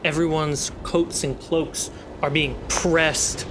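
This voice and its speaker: A man runs a tabletop fantasy game as its storyteller. His voice sits a little high and he takes his time, pausing for drama. Faint storm ambience runs underneath. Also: little pitch movement, background noise. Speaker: game master. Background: storm and ship sound effects.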